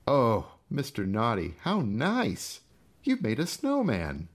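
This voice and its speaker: A man's voice: medium pitch, huge pitch swings, takes it slowly, pitched above the performer's own voice, heavily acted. A man voicing a vengeful, sadistic storybook character who taunts his helpless brother with mocking, menacing questions. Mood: mock cheerful. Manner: mocking, menacing, sing-song rhetorical questions.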